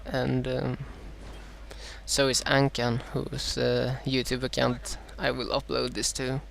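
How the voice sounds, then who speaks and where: A man from Sweden with a Swedish accent and a dry throat, casting his own strategy game match in a halting way, full of "uh"s and self-corrections. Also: mid-range voice, completely flat delivery, creaky, a little hiss or hum. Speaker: gameplay commentator.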